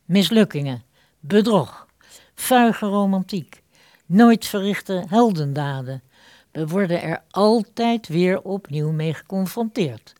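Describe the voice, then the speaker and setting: Casting: female radio drama presenter, lowish voice, unhurried, declamatory scripted delivery. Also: animated, a little mellow, no room sound, clean studio sound. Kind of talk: scripted, theatrical introduction.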